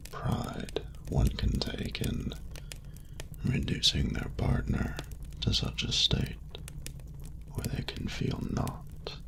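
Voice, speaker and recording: A man voicing a suave, doting dragon lover in an intimate romantic audio roleplay, speaking formally and seductively in a breathy, gravelly half-whisper. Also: extremely high pitch, full character voice. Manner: slow, breathy, formal archaic phrasing, seductive.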